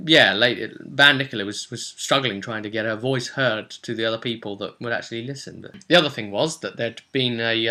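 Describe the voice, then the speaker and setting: Guy game master chatting through his rpg session, bright punchy voice, animated storyteller. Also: lowish voice. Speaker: game master and vlog host. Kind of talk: casual recap of a tabletop role-playing session.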